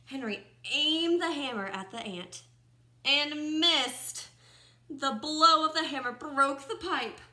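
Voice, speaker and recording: Bright young woman reading a picture book aloud. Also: sits high. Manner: expressive, questioning, pausing to comment. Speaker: first-grade teacher reading aloud. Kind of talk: picture-book read-aloud with asides to children. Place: small room at home, indoors by a window. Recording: roomy, echoing home recording.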